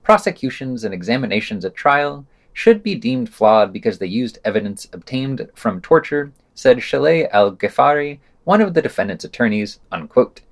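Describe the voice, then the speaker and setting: A man's voice: medium pitch, sing-song, moderate pace, few pauses. A man reading a scripted news roundup as a podcast presenter, adding wry, sardonic asides.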